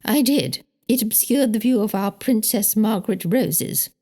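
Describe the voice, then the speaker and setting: A woman's voice: fairly high pitch, animated, a little slow, dark tone, voice pushed up. A woman voicing a prim, indignant older married lady with a British accent, emphatic and precise, in an audiobook. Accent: British English. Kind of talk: audiobook character dialogue.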